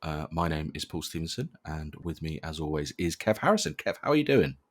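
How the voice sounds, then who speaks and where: A man with a very low voice hosts a relaxed podcast. He talks in a bantering, conversational way, with dry humour often aimed at himself. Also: brisk. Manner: dry, jokey, self-deprecating. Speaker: podcast host. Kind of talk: casual bantering podcast interview.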